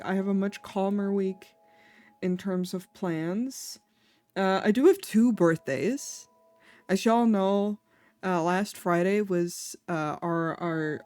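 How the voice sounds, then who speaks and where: A woman's voice: lowish voice, a little mellow, dry recording. A woman streamer chatting casually with her viewers, a little congested, giggling often. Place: home.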